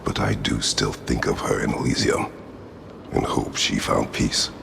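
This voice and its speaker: A man's voice: level tone, a little slow, background noise, exaggerated voice. An actor playing a grim, battle-weary warrior father haunted by regret in a video game, speaking in a stoic, solemn, terse way with formal wording. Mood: wistful, regretful. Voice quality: very deep gravelly growl. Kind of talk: video game dramatic acting.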